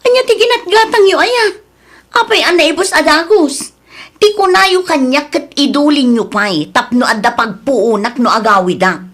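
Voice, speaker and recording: Radio drama daughter, played by a woman: high-pitched, accusing, anguished, Ilocano Filipino accent. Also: expressive, normal speed, a bit bright. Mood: tearful anger. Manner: wailing, reproachful. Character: betrayed adult daughter back from working abroad, anguished, accusing.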